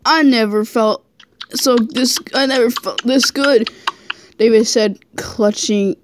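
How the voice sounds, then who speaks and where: High-voiced woman giggling through a read-aloud. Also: steady tone, slow pace, noisy background. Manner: giggly, stumbling over the text. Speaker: fan fiction reader, channel host. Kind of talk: casual amateur read-aloud with giggling asides.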